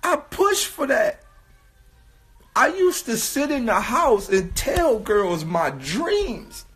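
A hoarse man talks into a phone held at arm's length in a small indoor room. His voice sits fairly high and swings widely in pitch, with a husky edge. He speaks slowly and earnestly, repeating his points. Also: very animated, a bit bright, soft background music. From Watts, California. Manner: earnest, repetitive, building to raised voice. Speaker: vlogger. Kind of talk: casual selfie monologue.

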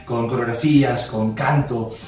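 Man in his thirties, from Ecuador, earnest, repeats words and lists points, boomy room echo, mic at a distance. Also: steady tone, unhurried, darkish tone. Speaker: musical theatre actor. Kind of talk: casual interview chat. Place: office room with glass wall, seated on chairs. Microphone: far camera mic. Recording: very echoey room from far off.

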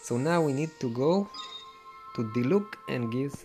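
A man hosting a casual gameplay walkthrough, low-key and halting, sometimes repeating himself. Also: animated, a little mellow, soft background music. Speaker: gameplay video host. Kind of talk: casual game walkthrough commentary.

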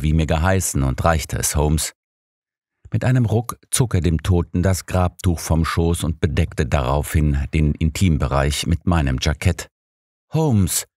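A man's voice: extremely low pitch, a little mellow, no room sound, clean studio sound. A man reading a scripted first-person story as an audiobook narrator.

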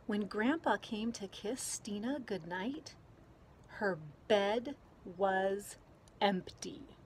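A woman reading a children's picture book aloud outdoors in a gentle, deliberate way, pausing between phrases. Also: mid-range voice. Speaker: story reader. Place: outdoors, garden. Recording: clear outdoor background noise.

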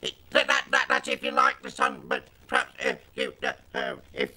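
A man voicing a childish, boastful, excitable puppet creature from children's TV, stammering and whining through electronic modulation. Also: extremely high pitch, moderate pace, full character voice. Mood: bashful. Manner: stammering, whiny, blustering.

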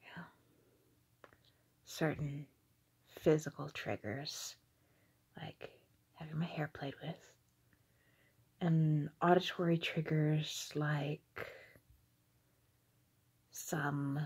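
A young adult woman giving a whispered, halting ASMR monologue in a breathy voice, recorded indoors on a phone held at arm's length. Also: deep voice, flat delivery, a little mellow. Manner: slow, hesitant, halting. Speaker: ASMR video creator. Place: small indoor room with curtains.

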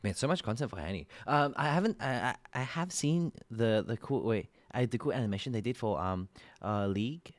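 A man's voice: medium pitch, expressive, fairly quick, dry recording. A young Australian man streaming from a small room into a desk mic, reacting casually to music videos with a lot of laughing and repeated, rambling words.

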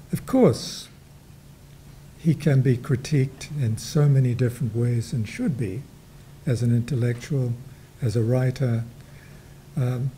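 An older man with a New Zealand accent speaks in an unhurried, reflective way, dropping in wry asides. His voice is very dark and mellow, and he talks at a slow pace while moderating a panel in a gallery room. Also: mid-range voice, a little hiss or hum, rough recording. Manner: slow, reflective, with dry humor and fillers. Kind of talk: panel discussion remarks.

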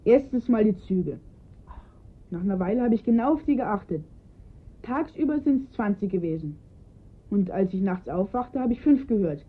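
A woman's voice: mid-range voice, animated, normal speed, pauses often, husky. A woman playing an observant, earnest young boy in a radio crime drama, recounting details precisely. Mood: eager, precise. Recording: muffled phone-like band-limited sound.

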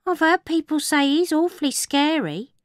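A woman's voice: sits high, animated, moderate pace, no echo, clean studio sound, voice pushed up. A woman voicing a sassy, suspicious tavern barmaid with a wary, indignant manner.

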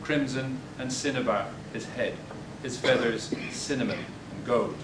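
An older man giving a poetry reading in a bookstore, hesitant and halting between poems, recorded from a distance. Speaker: poet. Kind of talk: poetry reading with spoken asides. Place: bookstore, indoors, at a podium. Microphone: distant camera mic. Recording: echoey room, distant mic, background rumble.

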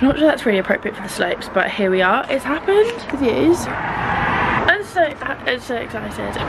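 A woman's voice: sits high, rough recording. Giggly young woman vlogging on a phone. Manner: bubbly, giggly, chatty. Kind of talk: casual vlog chat. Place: ski resort apartment and slopes. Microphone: phone at arm's length.

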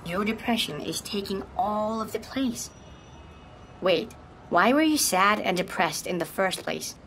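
A woman playing the gentle, motherly good side of a young man's mind, who speaks to him with affection and soothing words. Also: medium pitch, lively intonation, unhurried, a little hiss or hum. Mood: tender. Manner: soothing, affectionate, calls others darling.

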